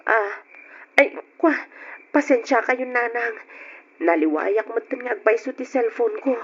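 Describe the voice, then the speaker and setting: A woman's voice: a little high, measured pace, a bit bright, slightly rough. A woman with a Filipino Ilocano accent playing an evasive, coy married young woman in an old-time radio drama, hesitating and stammering. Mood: evasive, flustered. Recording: dull, noisy old radio recording.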